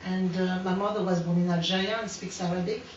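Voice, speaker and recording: A woman's voice: fairly low pitch, fairly even intonation, quick pace, a little hiss or hum. Hesitant woman in echoey hall. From France. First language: French. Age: 40s. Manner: hesitant, many fillers and restarts. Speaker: artist giving a talk. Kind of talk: unscripted artist talk. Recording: echoey room, distant mic, dull sound.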